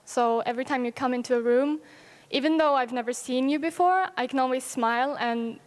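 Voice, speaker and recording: An earnest young woman speaks in a slightly high voice. Her words ring out with a long hall echo. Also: brisk. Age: young adult. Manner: warm-hearted, earnest. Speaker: conference participant. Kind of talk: heartfelt reflection.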